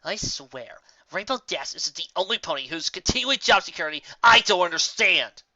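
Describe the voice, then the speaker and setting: Hot-headed pegasus pony played by a woman, storybook character, bright and forceful, pitch leaping in blunt indignation. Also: lively intonation, moderate pace, muffled recording. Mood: fuming. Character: hot-tempered, fed-up young female pegasus pony.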